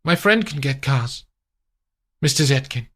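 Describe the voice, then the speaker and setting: A man's voice: medium pitch, expressive, measured pace, bright, forceful tone, voice pushed up. A man doing a polite raised-voice chauffeur. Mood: deferential. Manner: formal, respectful, addresses others as sir and madame. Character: deferential male chauffeur, polite and quiet.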